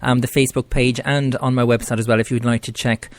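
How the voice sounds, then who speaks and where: An Irish man hosting a call-in show, upbeat and quick to praise. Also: mid-range voice, flat delivery, no echo. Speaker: host.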